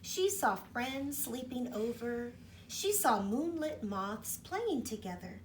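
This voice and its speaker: A woman's voice: fairly high pitch, takes it slowly, distant mic, a little hiss or hum, rough recording. A woman teacher reading a storybook aloud to children, stopping often to ask them questions. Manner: expressive, asks the children questions. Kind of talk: storybook read-aloud for kids.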